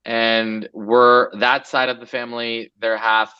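A man's voice: medium pitch, flat delivery, slow pace, clean tone, dull audio. A man in his forties from Los Angeles, a guest entrepreneur chatting casually in an interview, loose and rambling with many fillers.

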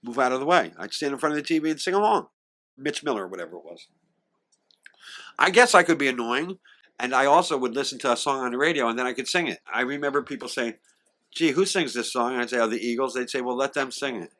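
A man's voice: medium pitch, expressive, quick pace, a bit bright. A man in his sixties, an interview guest who sings and acts, reminiscing with occasional stutters and restarts and acting out the voices of people he quotes. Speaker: singer and actor, interview guest.

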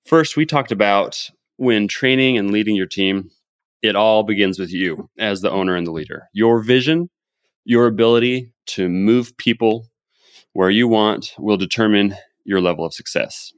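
A man's voice: lowish voice, moderate pace, dry recording, studio quality. A man hosting a podcast, chatting and summing up advice in an upbeat coaching tone. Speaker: podcast host. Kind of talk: casual podcast chat and summary.

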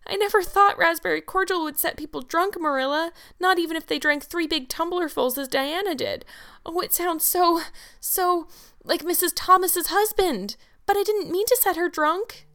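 A woman's voice: sits high, expressive, fairly quick, hardly any pauses, a bit bright, voice pushed up. A woman voicing an earnest, dramatic young girl who wails in flowery, tearful speech. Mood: wailing, tearful. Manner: melodramatic, flowery, wailing.

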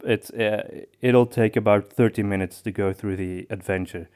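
A man's voice: on the low side, fairly even intonation, normal speed, darkish tone, clean tone. A man hosting a podcast, talking casually in a hesitant, conversational way. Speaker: podcast host.

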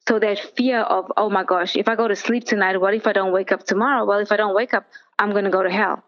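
A middle-aged woman, telling her story in an earnest, steady way as an interview guest. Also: medium pitch, quick pace, lo-fi sound. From South Africa. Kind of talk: personal testimony in an interview.

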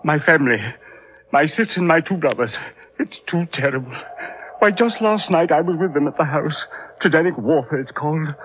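Casting: male actor for shaken gentleman, melodramatic old-time radio delivery, faint noise on a dull vintage recording. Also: on the high side, fairly quick, natural voice. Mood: shocked, breathless. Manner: agitated, faltering, melodramatic. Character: distraught, horror-struck English gentleman reporting a family tragedy.

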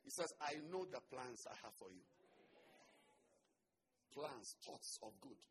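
A man with a Ghanaian accent preaching a sermon as a pastor. He swings from quiet, joking asides to loud shouts. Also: mid-range voice, sing-song, unhurried.

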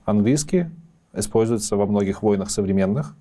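A man's voice: on the low side, a little fast, dark tone. A man interviewing a guest in a casual conversation, putting probing and challenging questions to her.

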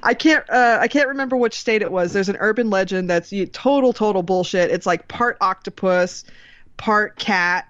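A woman's voice: mid-range voice, normal speed, dry recording, muffled recording. A woman co-hosting a podcast in casual chat, with a jokey, giggly manner. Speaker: podcast co-host.

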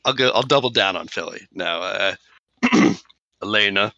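A man acting as game master for a tabletop roleplaying game, giving relaxed directions. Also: fairly low pitch, moderate pace, creaky, dry recording, muffled recording.

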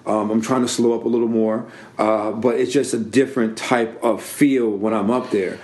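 A man who does stand-up comedy, chatting loosely on a podcast with a deep voice, swearing freely and rambling. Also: steady tone, moderate pace, a little mellow. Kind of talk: casual podcast chat.

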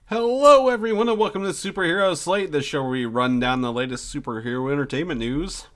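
A man's voice: fairly high pitch, fairly quick, a bit bright. A man co-hosting a casual podcast, congested from the flu, rambling with lots of fillers and restarts. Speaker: podcast co-host.

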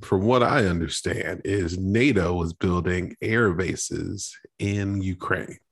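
Very deep, husky man chatting loosely. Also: takes it slowly. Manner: loose, laughing, explains offhandedly. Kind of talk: casual podcast chat.

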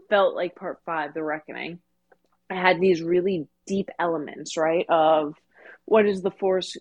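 A woman's voice: lowish voice, a little mellow. A woman co-hosting a podcast, discussing fiction in a casual, conversational and emphatic way. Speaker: podcast co-host.

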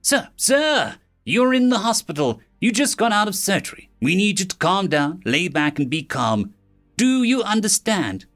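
A man voicing a stern, commanding female alien head nurse in a storybook reading, raising his voice high above his own. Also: very animated, normal speed, a bit bright, faint music behind. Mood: firm. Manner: commanding, urgent.

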